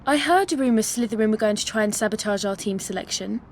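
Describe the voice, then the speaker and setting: A woman playing a sharp-tongued, brisk teenage schoolgirl in a video game. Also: medium pitch, expressive, a little hiss or hum, lightly acted. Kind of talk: scripted cinematic game acting.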